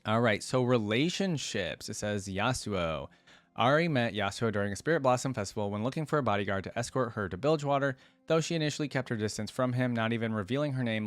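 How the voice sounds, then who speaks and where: A man's voice: on the low side, dry recording. A man doing casual reaction commentary, reading aloud and adding informal, conversational asides as a video creator.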